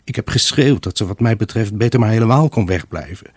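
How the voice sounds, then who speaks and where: A lonely, grieving husband tells his story in an intimate radio play. His low voice is husky and dryly recorded, close and without room sound. He broods and halts, raw with obsessive longing for his wife. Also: expressive, a little mellow, no room sound. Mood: brooding, wistful. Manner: brooding, halting, emotionally raw. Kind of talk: Dutch radio drama monologue, intimate first-person narration.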